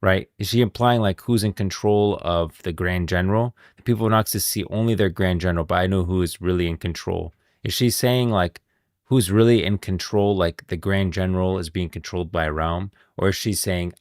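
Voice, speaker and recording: Very deep-voiced man, close and dry. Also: steady tone, moderate pace, no room sound. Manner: rambling, thinking aloud, repeating himself. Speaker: streamer, host. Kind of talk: casual live commentary on game lore.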